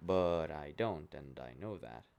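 A man playing an unhinged, childish, foul-mouthed vigilante killer in a dark comedic radio play, rambling theatrically between whispers and outbursts. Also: a bass voice, animated, a little slow, vocal fry, highs cut off, exaggerated voice. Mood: dry.

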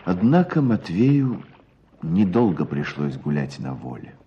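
A man's voice: deep voice, sing-song, measured pace, dull audio, a little hiss or hum. An actor playing a grave man who tells a sad life story expressively in a radio drama. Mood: grave storytelling.

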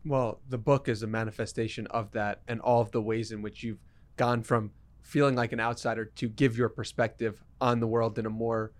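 Calm man hosting a podcast. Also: lowish voice, moderate pace. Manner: calm, reflective, short affirmations. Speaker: podcast host. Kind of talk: casual interview.

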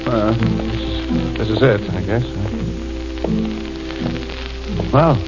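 A man's voice: on the low side, a little slow, close to an everyday voice. An actor playing a breezy, slangy male newspaper crime photographer in a 1940s radio drama. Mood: tense. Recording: dull old radio recording with music.

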